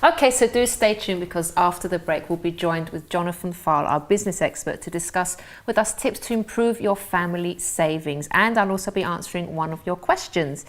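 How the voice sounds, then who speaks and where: Woman, fairly low voice, talk show host, gentle, hesitant, studio chat. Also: a little fast, few pauses. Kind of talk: casual interview chat.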